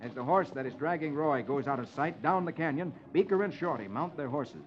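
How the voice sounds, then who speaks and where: A man's voice: on the high side, expressive, brisk. A man narrating comic strips as a dramatic storyteller on a 1950s children's radio show. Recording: muffled old radio recording, music behind.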